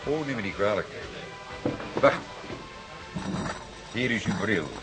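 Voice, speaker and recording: Deep-voiced, dry police detective. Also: male voice, lively intonation, over music, low-quality recording. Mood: drily menacing. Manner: deliberate, dryly formal, spells out words. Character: seasoned, dry, persistent male Amsterdam police detective. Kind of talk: Dutch radio play acting.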